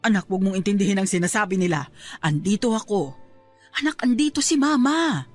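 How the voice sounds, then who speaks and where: A worried Filipino mother acted by a woman pleads and reassures in a radio-style drama. Her mid-range voice rises and falls dramatically with protective urgency, over soft music. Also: mid-range voice, lively intonation, a little fast, close to an everyday voice. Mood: protective, pleading.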